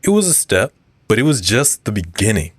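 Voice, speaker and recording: A man playing a calm, earnest community activist being interviewed in an audio drama, speaking in a measured way. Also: fairly low pitch, slightly rough, dry recording. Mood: resolute. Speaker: radio show guest.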